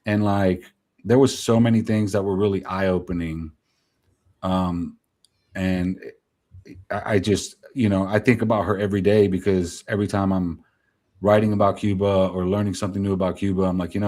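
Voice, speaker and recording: Low, mellow-voiced Gen X man. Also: fairly even intonation, moderate pace. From New Jersey, US. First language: Spanish. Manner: rambling, filler-heavy, candid. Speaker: guest. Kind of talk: casual interview chat.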